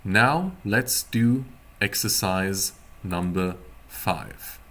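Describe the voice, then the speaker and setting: A man giving a casual live-streamed language lesson as a teacher, explaining word by word in a halting, deliberate way. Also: deep voice, a bit bright. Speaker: German teacher.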